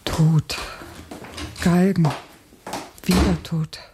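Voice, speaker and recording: A woman's voice: lowish voice, little pitch movement, drawn-out words, noisy background. An actress playing an anguished, bitterly disillusioned young woman revolutionary in a radio play, speaking breathily and intensely, often near a whisper. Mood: anguished, despairing. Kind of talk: German radio play acting.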